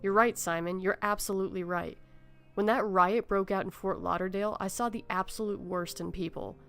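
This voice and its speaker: A woman's voice: medium pitch, fairly even intonation, fairly quick, fairly bright tone, smooth, faint music behind, lightly acted. A woman playing a shaken, somber survivor, subdued and serious, in a horror audio drama. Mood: somber, resigned.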